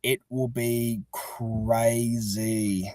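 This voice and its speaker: A man's voice: mid-range voice, little pitch movement, extremely slow, darkish tone, no echo. A man chatting casually as an interview guest, talking in a rambling, chatty way. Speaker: interview guest, fan-dub creator. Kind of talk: casual chat.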